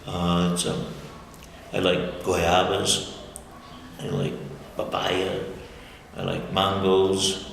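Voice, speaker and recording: A man's voice: deep voice, monotone, extremely slow, creaky, pauses often, fairly bright tone. An older man from the United States, answering interview questions in a rambling, self-correcting way and chuckling often. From United States, Michigan. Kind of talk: casual conversational interview. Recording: echoey room with long reverb and faint noise.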